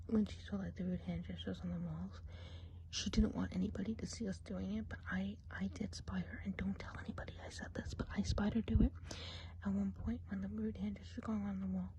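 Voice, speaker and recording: A woman's voice: medium pitch, steady tone, fairly quick, warm tone, a little hiss or hum, natural voice, low-quality recording. A woman playing a gossipy female witch of the woods in an ASMR role play, whispering confidingly while giving an eye exam. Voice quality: mostly whispered.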